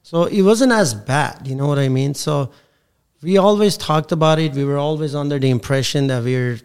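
A man's voice: on the high side, normal speed, a little mellow, clean tone. Casual, rambling man from Iran. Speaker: podcast guest. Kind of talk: casual chat.

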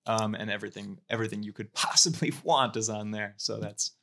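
Bright-voiced man chatting loosely, lowish pitch. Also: a little fast. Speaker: podcast guest, comedian. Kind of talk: casual, bantering podcast chat.

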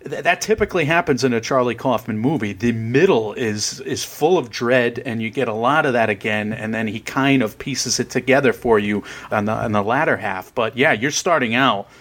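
A man guests on a casual film podcast with a mid-pitched, ordinary voice. He rambles through his thoughts, jokes at his own expense and laughs along. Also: moderate pace, hardly any pauses, fairly bright tone. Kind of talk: casual film review chat.

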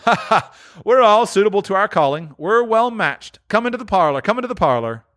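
A man's voice: high voice, sing-song, very fast, fairly bright tone, dry recording, clean studio sound, voice pushed up. A man reading aloud, voicing a sly, jovial old pawnbroker near seventy with a cackling, wheedling voice. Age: older.

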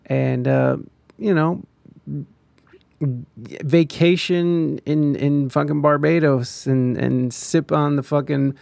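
A man's voice: a little high, steady tone, takes it slowly, vocal fry, a little mellow, dry recording. A man on a live stream, chatting casually and ranting, profane and rambling, with many restarts. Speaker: live streamer reading viewer comments.

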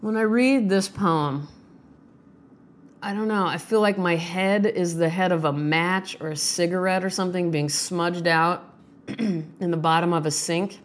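Low-voiced woman, chatty and self-mocking, voice rough from a sinus infection, solo in a home studio. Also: a little slow. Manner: chatty, self-deprecating, joking. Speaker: podcast host reading poems. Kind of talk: casual chat and poetry reading.

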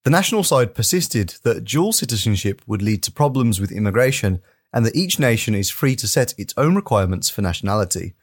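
A man's voice: fairly low pitch, very animated, fairly quick, breathy edge, dry recording, studio quality. A man co-hosting a podcast, moving between casual chat and reading out news in an informal, careful way. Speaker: podcast co-host.

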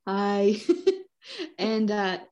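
A woman's voice: mid-range voice, little pitch movement, extremely slow, a little mellow, muffled recording. A woman chatting casually as a podcast co-host, informal and teasing in a playful way. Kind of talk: casual chat.

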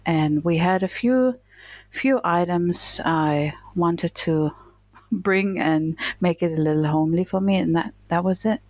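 A woman's voice: fairly low pitch, a little slow, a little mellow, no echo. A middle-aged woman from eastern Germany with a German accent, telling her own story casually as an interview guest.

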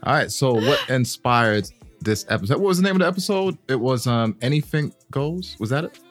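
A man's voice: medium pitch, expressive, brisk, soft background music. A young man co-hosting a podcast in loose, casual chat, joking and swearing easily. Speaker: podcast co-host.

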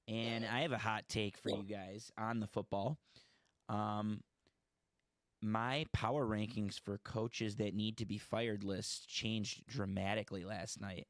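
A man's voice: fairly low pitch, no echo. Guy hosting a sports podcast, talks a lot, hot takes, dry close mic. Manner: chatty, opinionated, self-correcting. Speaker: podcast host. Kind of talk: casual sports podcast chat.

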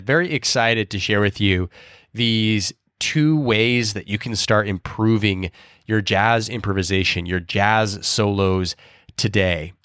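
A man's voice: lowish voice, a bit bright, dry recording, studio quality. A man hosting a podcast in a casual, upbeat, chatty way, his voice a little stuffed up from illness. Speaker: podcast host.

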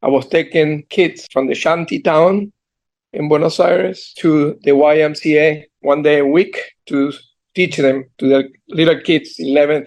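An older man with a strong Argentine Spanish accent tells his life story on a video call. He speaks earnestly and stops and restarts his sentences often. His voice sits fairly high. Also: gravelly, darkish tone. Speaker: guest entrepreneur. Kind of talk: storytelling interview. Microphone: computer mic on a video call.

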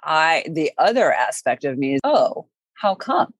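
Woman, podcast host, very low voice, dry close sound, calm and reflective. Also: unhurried, dry recording. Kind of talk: casual chat.

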